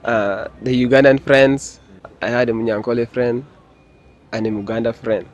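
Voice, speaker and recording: Young man, relaxed student voice, plain and friendly interviewee. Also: mid-range voice, moderate pace, lo-fi sound. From South Sudan. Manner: easygoing, with fillers and small laughs. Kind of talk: casual interview answers.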